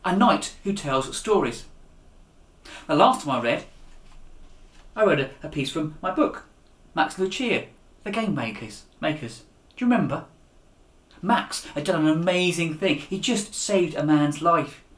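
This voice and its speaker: A man reading a children's story aloud as its author, with a dramatic, expressive delivery that rises and drops to a hush. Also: a little high, a little slow. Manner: dramatic, with raised voices for dialogue and hushed endings. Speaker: author reading his own children's book. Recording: echoey room.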